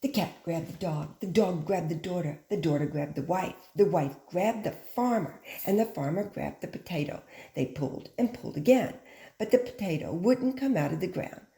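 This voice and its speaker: Lady teacher doing storytime for little kids, simple clear wording, stretches the big words, kinda echoey room. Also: on the low side, lively intonation, moderate pace, warm tone. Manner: simple, instructive phrasing aimed at young children. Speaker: teacher reading to children. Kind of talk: animated children's picture book read-aloud.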